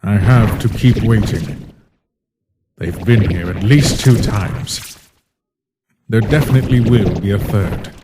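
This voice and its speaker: A man voicing a lonely, gentle, weary recluse in a comic dub, speaking in a measured, formal, thoughtful way. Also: on the low side, heavy background noise. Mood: weary, brooding. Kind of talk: slow dramatic monologue in a comic dub.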